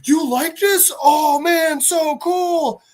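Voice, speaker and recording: Cocky meathead superhero, acted out by a storyteller: crude, slangy, excitable, pitched up high for a comic-book retelling. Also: quick pace. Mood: excited. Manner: brash, slangy bragging.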